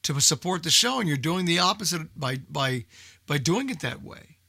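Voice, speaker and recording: Emphatic older American man, bright voice. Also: a little high, big pitch swings, moderate pace, creaky, slightly rough, dry recording, clean studio sound. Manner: emphatic, repeats himself for emphasis. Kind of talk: casual group chat.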